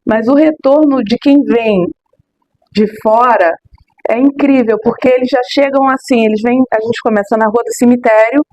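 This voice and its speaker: Expressive woman tour guide. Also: on the high side, rough recording. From Rio de Janeiro, Brazil. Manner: expressive, emphatic, drawn-out words, many tag questions. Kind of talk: animated interview answers.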